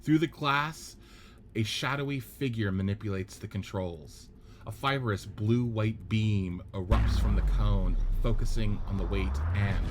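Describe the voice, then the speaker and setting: Male podcast host, low voice, narrating stage directions, steady, faint music behind. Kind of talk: reading stage directions in a script reading.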